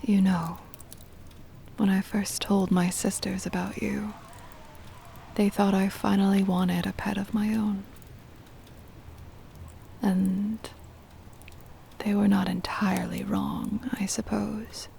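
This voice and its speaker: A woman playing a centuries-old vampire mistress, tender and possessive, in a hushed, breathy ASMR whisper with deliberate, formal wording. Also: mid-range voice, flat delivery, slight background noise. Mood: wistful confiding. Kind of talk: ASMR roleplay, intimate scripted monologue.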